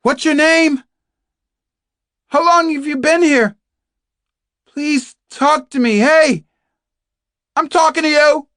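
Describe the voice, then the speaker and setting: A woman voicing a defiant captive young woman doctor in an audiobook, shouting insistently and pleading in a strong, strained voice. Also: high-pitched, takes it slowly, pauses often, dry recording, clean studio sound, pitched above the performer's own voice. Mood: urgent pleading. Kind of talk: dramatized audiobook dialogue.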